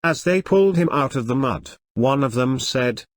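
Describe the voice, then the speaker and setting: A man's voice: mid-range voice, animated, normal speed. A man narrating a scripted moral story for a cartoon. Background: faint music.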